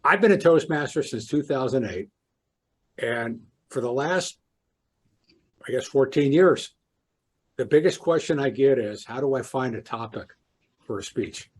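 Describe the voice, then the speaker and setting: Man, interviewer, husky voice, encouraging, conversational. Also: medium pitch, animated, measured pace, pauses often. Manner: encouraging, affirming.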